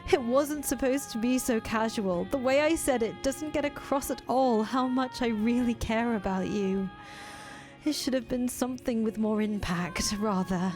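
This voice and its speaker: A woman's voice: a little high, darkish tone, voice pushed up, natural voice. A woman voicing a flustered young woman confessing her love, stammering and earnest.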